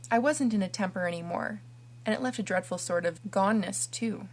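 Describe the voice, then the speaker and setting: A woman's voice: medium pitch, brisk, natural voice. A woman voicing an imaginative, dramatic orphan girl who talks earnestly and theatrically. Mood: thoughtful.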